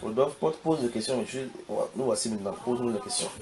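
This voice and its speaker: A man hosting a religious talk, speaking fast and emphatically as he questions his guest and repeats his words. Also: mid-range voice, noisy background. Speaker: host, preacher.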